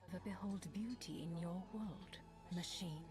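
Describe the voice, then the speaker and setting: A woman voicing a solemn female demon-hunting warrior in a video game, measured, proud and poetic. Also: fairly low pitch, close to an everyday voice. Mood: solemn, defiant. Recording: game audio with music.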